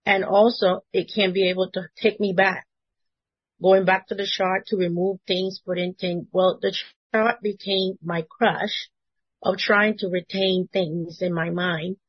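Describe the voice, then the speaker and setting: A woman's voice: on the low side, level tone, unhurried, breathy edge, no echo, highs cut off. A woman with a Spanish accent, a native Spanish speaker, sharing an earnest testimony at a Bible study and laughing between phrases. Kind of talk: casual testimony.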